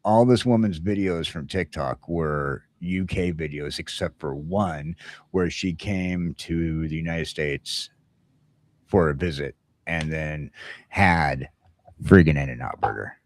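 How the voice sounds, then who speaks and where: Very deep-voiced man chatting on a talk show. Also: dry recording. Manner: halting, repetitive, opinionated. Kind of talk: casual morning chat show talk.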